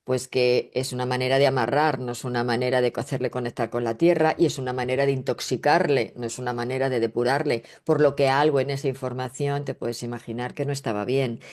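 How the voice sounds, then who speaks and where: Low-voiced, emphatic woman from Spain explaining. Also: steady tone, fast talker, few pauses, darkish tone. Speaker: guest, astrologer and spiritual researcher. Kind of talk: conversational interview explanation.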